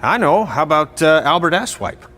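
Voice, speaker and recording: An actor playing a sarcastic, blunt veteran wrestling trainer in video game dialogue. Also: male voice, on the high side, lively intonation, normal speed, sharp tone. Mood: mocking.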